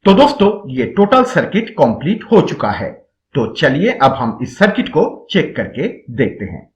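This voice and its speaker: A man's voice: fairly high pitch, very animated, moderate pace. A man narrating a step-by-step electronics tutorial, instructional and addressing viewers directly as friends.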